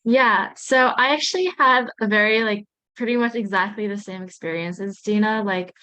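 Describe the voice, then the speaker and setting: A woman's voice: medium pitch, moderate pace, bright, forceful tone, clear, resonant tone, no room sound, poor audio. Student panelist, young woman from Australia, casual and chatty, dry close sound. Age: 20s.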